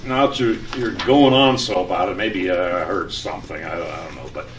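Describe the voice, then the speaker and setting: An actor playing a fretful, exasperated husband who sputters and protests in old-time radio domestic comedy style. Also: male voice, low voice, brisk, slight background noise, lightly acted. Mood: flustered, reluctant. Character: flustered, exasperated husband, fretful and easily panicked. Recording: roomy, distant old radio comedy recording.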